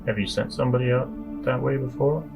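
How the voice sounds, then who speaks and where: A man's voice: medium pitch, fairly even intonation, fast, vocal fry, music in the background. A man playing a tabletop game, asking questions in character in a conversational, tentative way and circling back over his phrases.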